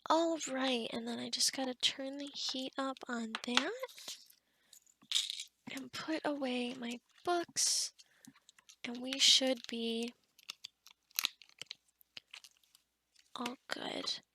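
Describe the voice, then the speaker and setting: A woman's voice: high voice, slow, noisy background. A woman playing a kind, scatterbrained forest witch in an ASMR roleplay, rambling and muttering to herself with whispery asides. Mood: distracted muttering.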